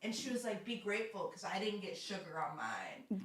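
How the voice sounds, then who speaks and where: A young woman co-hosting a casual podcast, chiming in with dry, quick-witted remarks. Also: mid-range voice, sing-song, a crowd in the background. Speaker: podcast co-host. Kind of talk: casual chat.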